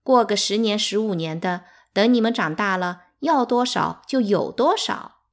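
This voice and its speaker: A cold, mocking teenage servant girl from a storybook, voiced by a woman reader. She taunts brazenly and sounds pleased with herself, with her pitch raised above plain narration. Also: expressive, moderate pace, natural voice. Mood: loud scornful.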